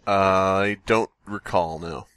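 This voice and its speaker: A man's voice: low voice, steady tone, takes it slowly, a bit bright, clean tone, no room sound, studio quality. A man co-hosting a podcast with casual comedic critique, wry and self-deprecating. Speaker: podcast co-host.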